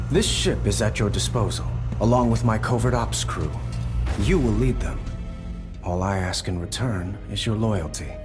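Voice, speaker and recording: Actor as low-voiced, dignified male emperor. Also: close to an everyday voice. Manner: formal, measured. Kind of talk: video game cinematic acting.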